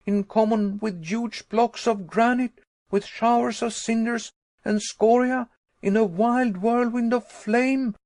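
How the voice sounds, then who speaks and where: A man reading an audiobook, voicing the narrator's terrified young self, who cries out in shrill panic. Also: vocal fry, a little mellow, no room sound, heavily acted.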